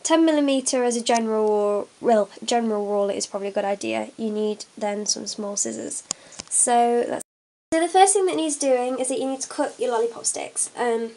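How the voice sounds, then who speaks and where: A woman's voice: a little high, normal speed, mellow, a little hiss or hum, low-quality recording. A young woman with a cold and a blocked nose, chatting through a hands-on craft tutorial with lots of ums. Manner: chatty, many fillers, talks while working. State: congested from a cold. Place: at a table indoors, hands on camera.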